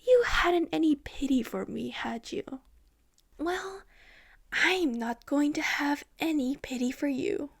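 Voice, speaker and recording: A woman voicing a bitter, sneering rogue policeman who turns whiny with self-pity, in a breathy, half-whispered storybook reading. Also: high voice, sing-song, slow pace, full character voice. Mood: menacing. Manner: sneering, whining.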